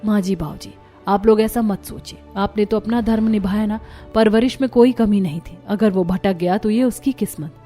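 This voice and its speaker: A clever, determined young daughter-in-law played by a woman in a Hindi cartoon story. She explains things confidently and persuasively, at a middle pitch, with faint music behind her. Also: normal speed, warm tone, close to an everyday voice. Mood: gentle, consoling.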